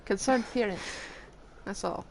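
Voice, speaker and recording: A woman's voice: medium pitch, measured pace, darkish tone, a little hiss or hum, poor audio. A woman giving casual, wry, offhand live commentary as she streams a game. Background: game music.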